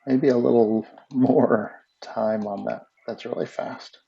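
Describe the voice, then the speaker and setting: Brief: gravelly-voiced man, mid-pitched, relaxed animation tutor narrating his screen, slightly dull lo-fi mic. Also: takes it slowly. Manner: relaxed, thinking aloud while working. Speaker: animation instructor. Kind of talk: screen-recorded software tutorial.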